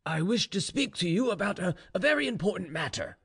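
Man playing a stern high-pitched Russian general. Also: sing-song, a little fast, fairly bright tone, husky, exaggerated voice. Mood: grave, guarded. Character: stern, suspicious aristocratic Russian general, a troubled father.

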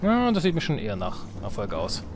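Fast-talking man casually commentating a game. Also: on the low side, huge pitch swings. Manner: colloquial, slangy, thinking aloud. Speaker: let's play host. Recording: game music behind the voice. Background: strategy game music and game sounds.

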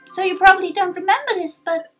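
A woman voicing a childlike, emotional creature guildmaster in a video game, speaking slowly and earnestly. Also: very high voice, faint music behind. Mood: earnest, grateful.

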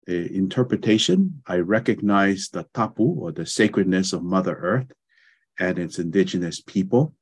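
A man's voice: deep voice, lively intonation, normal speed, husky. A middle-aged man from Tonga with a Tongan accent, giving a lecture over a video call, speaking hesitantly with many fillers and restarts. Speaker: guest presenter, anthropology professor. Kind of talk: online lecture with slides. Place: home study with bookshelves.

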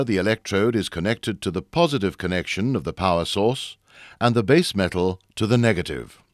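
Deep-voiced male instructional narrator, very lively intonation. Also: dry recording, clean studio sound. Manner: measured, formal explaining. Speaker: narrator of a training video. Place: close, dry studio voice-over.

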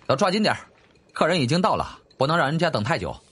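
一位男演播者在有声书里扮演一个厚脸皮、爱做买卖的年轻贵族公子，语气轻快讨好。. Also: male voice, on the high side, very animated, quick pace, fairly bright tone, breathy edge, pitched above the performer's own voice, exaggerated voice. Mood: brisk. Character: cheeky, shameless young nobleman turned merchant, glib and smiling. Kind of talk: audiobook character acting.

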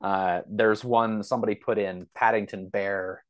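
A man's voice: on the low side, fairly even intonation, normal speed, no room sound. A man talking casually as a podcast guest, explaining things through examples and rambling asides. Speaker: podcast guest, science fiction author.

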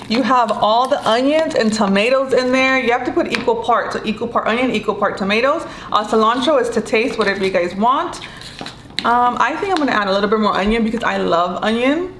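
Woman, Mexican accent, young, playful cooking chat, roomy kitchen, clear background noise. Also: fairly high pitch, a bit bright. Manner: chatty and playful, giggly, searching for English words. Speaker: cooking vlogger. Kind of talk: casual cooking demo chat. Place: bright home kitchen, indoors. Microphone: camera mic from a few feet away.

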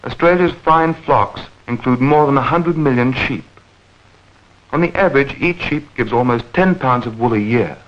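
A man narrating a 1940s educational newsreel in a measured, clearly enunciated, explanatory manner. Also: medium pitch. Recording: dull vintage 1940s film soundtrack.

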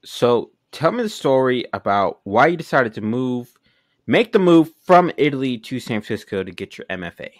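A man's voice: mid-range voice, big pitch swings, moderate pace. A young man hosting a pottery podcast, upbeat and friendly as he opens the show and asks questions. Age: young adult. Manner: upbeat, friendly intro patter. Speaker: podcast host. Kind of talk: casual podcast interview. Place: small indoor room. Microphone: large studio mic on boom arm.

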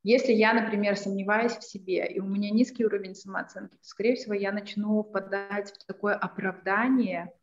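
A woman's voice: mid-range voice, steady tone, fairly quick, hardly any pauses. Woman lecturing over a video call. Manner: thoughtful, many fillers and restarts, gestures while talking. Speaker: self-defense and assertiveness trainer. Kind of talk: online lecture. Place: small room indoors. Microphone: laptop webcam on a video call. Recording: echoey room, dull lo-fi sound.

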